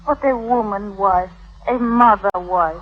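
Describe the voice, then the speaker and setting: A woman's voice: medium pitch, unhurried, a little hiss or hum, natural voice. An actress playing a very young, earnest schoolgirl wife in an old radio drama, girlish and formal in her speech. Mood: tearful, pleading. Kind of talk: 1950s radio drama acting. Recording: tinny vintage radio broadcast.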